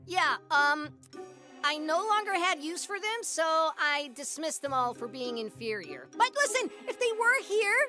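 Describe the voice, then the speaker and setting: An actor voicing a small, self-pitying, boastful male villain creature in an animated game, whiny and theatrical. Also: high-pitched, measured pace, faint music behind, full character voice. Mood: pleading, defensive. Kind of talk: animated game cutscene acting.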